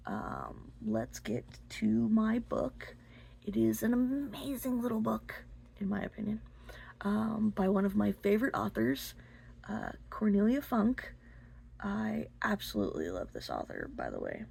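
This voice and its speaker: A woman hosting an unscripted book talk, speaking in a halting way with pauses mid-phrase. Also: mid-range voice, huge pitch swings, creaky, a little mellow, husky. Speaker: book review host.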